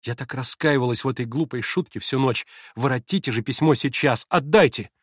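A man reading aloud, voicing an excitable, imperious young invalid girl who gives urgent commands. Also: mid-range voice, a little fast, husky, no room sound, clean studio sound, natural voice.

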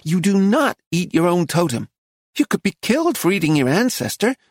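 Male reader as American woman tourist, gushing, plaintive, raised pitch, dry studio sound. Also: very animated, normal speed, no room sound. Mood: shrill, correcting. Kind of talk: acted audiobook dialogue.

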